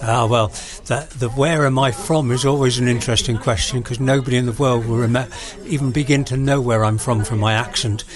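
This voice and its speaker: A man's voice: medium pitch, steady tone, a little fast, hardly any pauses. A man in his sixties from South Wales with a Welsh accent, chatting earnestly as a guest on hospital radio. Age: 60s. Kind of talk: casual radio interview. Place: busy room at a radio party. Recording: crowd chatter in the room. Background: crowd chatter.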